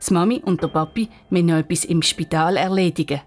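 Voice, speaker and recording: Low-voiced Swiss woman storyteller over music. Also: quick pace. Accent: Swiss German. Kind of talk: scripted children's story narration.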